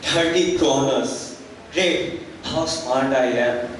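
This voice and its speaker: A man's voice: mid-range voice, takes it slowly, close to an everyday voice. Young man acting a sly, self-pitying vagabond peddler, stage play, very echoey hall, distant noisy mic. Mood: smug, scheming. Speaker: student actor in a school play.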